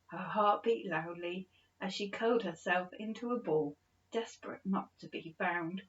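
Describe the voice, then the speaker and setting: A woman's voice: on the low side, normal speed. Woman narrating a bedtime story, slightly muffled. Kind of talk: expressive scripted children's story narration.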